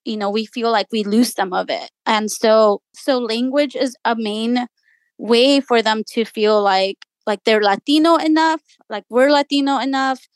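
A woman's voice: on the high side, measured pace, dry recording. A woman journalist whose first language is Spanish, speaking earnestly in a radio interview and thinking aloud with many restarts. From United States. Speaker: journalist guest.

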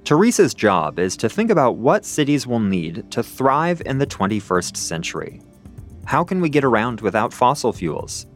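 A man's voice: mid-range voice, very animated, moderate pace, soft background music. A male reporter narrating a scripted radio feature, partly recorded outdoors in a city park.

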